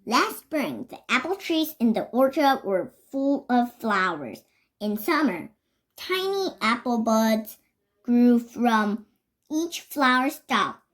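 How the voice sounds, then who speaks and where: A child reading a children's picture book aloud, carefully and a little haltingly, stressing words as they go. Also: medium pitch, sing-song, a bit bright. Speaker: reader.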